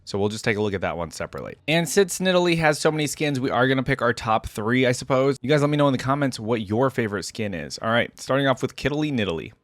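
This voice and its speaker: A man's voice: medium pitch, animated, fast, few pauses, no room sound. A man giving casual reaction commentary as a video host, talking to his viewers. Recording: music playing behind the voice. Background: background music.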